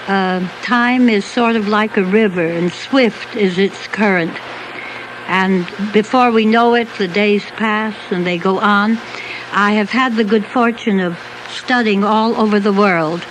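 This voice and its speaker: A woman's voice: medium pitch, slight background noise, poor audio. An older woman, a dance teacher, speaking as a radio guest in a florid, reverent, speechlike manner. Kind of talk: formal radio interview remarks. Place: radio studio. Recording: 1983 radio taped on cheap cassette.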